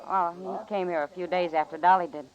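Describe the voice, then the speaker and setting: A woman's voice: fairly low pitch, fairly even intonation, fairly quick, a crowd in the background, close to an everyday voice. An actress with an American accent playing an easygoing, worldly saloon woman in a radio western, chatty and familiar. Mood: gossipy, frank. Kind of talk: old-time radio western acting. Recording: muffled old-time radio recording.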